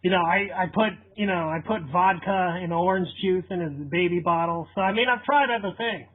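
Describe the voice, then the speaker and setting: A man playing a casual, shameless parent on a deadpan prank phone call, hesitant and rambling as he persuades. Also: high voice, fairly quick. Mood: earnest, coaxing. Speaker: prank caller. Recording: thin phone-line radio-like sound.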